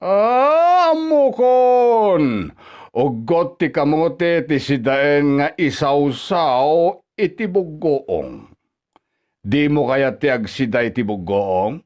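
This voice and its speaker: An actor with an Ilocano accent playing a bullying, scornful middle-aged father-in-law in a radio drama, mocking and quick to anger. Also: on the high side, sing-song, a little mellow. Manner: mocking, haranguing. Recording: background music under radio drama.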